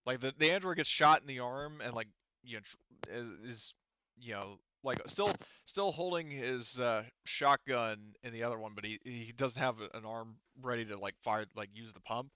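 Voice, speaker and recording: A man's voice: medium pitch, moderate pace, pushed and bright, a little hiss or hum. A man running a tabletop game as game master, narrating casually and informally with some swearing, on slightly dull audio.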